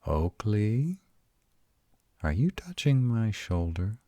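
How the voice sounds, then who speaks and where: A man playing a grumpy, protective were-dragon in a roleplay audio, gruff and exasperated, rattled but defiant. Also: lowish voice, big pitch swings, warm tone. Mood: rattled, uneasy. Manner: gruff, exasperated, halting one-sided dialogue.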